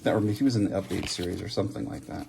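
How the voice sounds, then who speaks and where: A man with a lowish voice and heavy vocal fry talks casually as a hobby host. He lists things off and muses aloud in an offhand way. Slight noise and small handling clicks sit behind him. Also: racing, warm tone. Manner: offhand, reading out names and musing aloud. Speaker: host of a trading card opening channel. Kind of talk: casual running commentary while opening card packs. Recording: background hiss, handling clicks and card shuffling.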